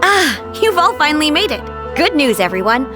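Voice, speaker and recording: A woman's voice: high voice, big pitch swings, normal speed, music in the background. A woman playing a polite, upbeat, businesslike publishing clerk in an anime game. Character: bright, courteous, businesslike female publishing house clerk.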